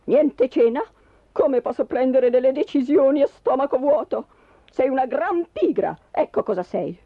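A woman's voice: high voice, animated, moderate pace, no echo, phone-quality sound. A woman voicing a grumpy, irritable husband in a storybook tale, grumbling, blustering and plaintive. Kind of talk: theatrical storybook character voice.

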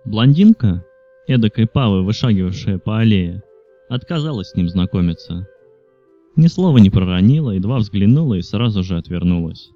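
A man's voice: on the low side, dark tone, dry recording, lo-fi sound. A man reading literary fiction aloud as a scripted audiobook narration. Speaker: audiobook reader.

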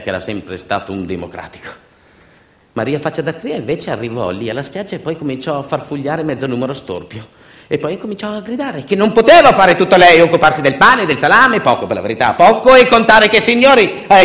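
A man's voice: medium pitch, fairly bright tone. A man performing a theatrical narrative monologue as a stage storyteller, in a colloquial, emphatic manner that leans on repeated phrases. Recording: roomy echo, faint music. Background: faint music.

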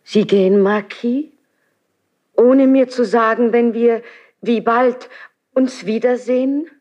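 Noble queen, very unhurried, highish pitch, grave, formal, passionate, anguished, 1970s radio play. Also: steady tone, darkish tone, highs cut off. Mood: anguished reproach. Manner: measured, grave, formal theatrical delivery. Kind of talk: 1970s German radio drama, classical stage verse.

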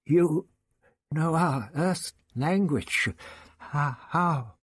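A woman's voice: deep voice, drawn-out words, husky, no room sound, pitched above the performer's own voice, exaggerated voice. A man voicing a frightened, polite human woman in a story, hesitant and stammering. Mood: trembling. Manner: hesitant, stammering, breathless pleading. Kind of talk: audiobook character voice.

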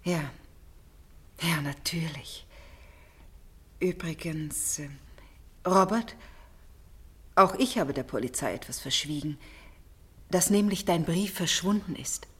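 An actress playing a troubled, suspicious young lady of the house in a German radio play, with a husky, breathy voice and hesitant, restrained delivery. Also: sits low, slow pace, pauses often, fairly bright tone, heavily acted. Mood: uneasy, probing.

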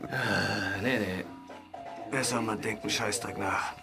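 An actor playing a boozy, rueful middle-aged drinking buddy in a German radio crime drama, speaking provocatively. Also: male voice, on the low side, steady tone, unhurried, creaky. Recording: music under the dialogue.

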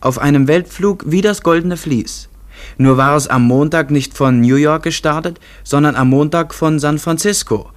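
A man narrating a literary radio play in a measured, precise manner. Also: a little high.

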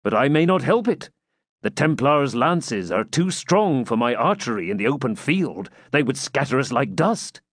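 Man playing an animated, commanding outlaw captain. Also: medium pitch, normal speed, no echo, clean studio sound, voice pushed up. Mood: regretful. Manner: grave, commanding, archaic speech. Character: bold, plain-spoken, generous English outlaw captain, male.

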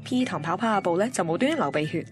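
Actress doing a young hong kong cantonese radio gal, blunt n teasing, radio drama, music under it. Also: female voice, lowish voice, lively intonation, fast talker, lightly acted. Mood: wry narrating. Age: young adult. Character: young female radio assistant, driven, blunt, teasing interviewer. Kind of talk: radio drama narration and banter.

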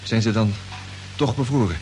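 A man's voice: fairly low pitch, moderate pace, a little mellow, a little hiss or hum, natural voice. A man playing an eager, inquisitive spaceship crewman who talks informally and questions a lot, in a vintage Dutch radio drama. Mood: puzzled, inquisitive.

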